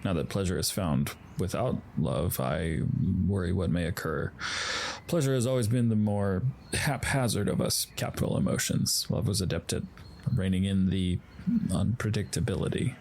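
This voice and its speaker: A man's voice: low voice, animated, moderate pace, creaky, a little mellow, slight background noise. A man playing a solemn, guarded warrior spirit of emotion in an audio drama, speaking formally and stiffly. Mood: grave, concerned. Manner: formal, stiff, earnest speech.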